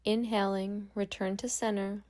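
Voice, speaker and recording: A woman guiding a meditation as a yoga nidra instructor, speaking calmly and gently with long pauses. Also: medium pitch. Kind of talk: guided meditation.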